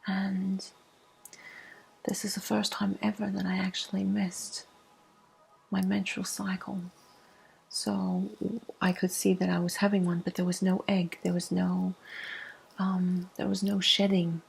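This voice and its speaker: Breathy, creaky soft-spoken ASMR woman. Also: medium pitch, level tone, rough recording. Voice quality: breathy, husky, often dropping into whisper. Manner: slow, hushed, unhurried.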